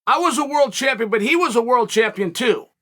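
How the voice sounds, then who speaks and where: A man in his forties hosting a solo commentary show, speculating and telling stories in an animated, emphatic way from a dark studio set. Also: very high voice, fairly quick.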